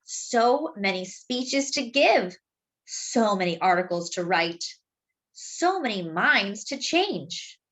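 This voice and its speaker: A woman reads a picture book aloud expressively, explaining things simply and deliberately as if to young children. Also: medium pitch, big pitch swings, fairly bright tone, low-quality recording. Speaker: children's storybook reader.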